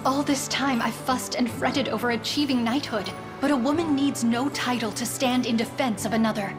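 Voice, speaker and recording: Woman playing an earnest, high-voiced young would-be knight. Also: fairly even intonation, normal speed, over music, natural voice. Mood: resolute, earnest. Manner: formal, high-flown, earnest diction. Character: earnest, proper young noblewoman, aspiring knight turned bandit. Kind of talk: scripted video game voice acting.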